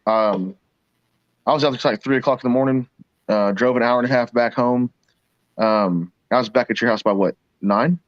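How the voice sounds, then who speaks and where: A man's voice: medium pitch, normal speed, no room sound. A man from Texas telling blunt, profane driving stories in casual car talk. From Texas, Dallas-Fort Worth area. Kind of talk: casual storytelling. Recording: slightly dull dry line.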